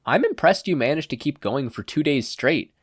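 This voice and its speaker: A reader playing the voice inside a teenage boy's head in a story. It is wry and knowing, teasing and lecturing with a lifted, expressive pitch. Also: normal speed, breathy edge, no room sound, clean studio sound. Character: wry, teasing inner conscience of a teenage boy.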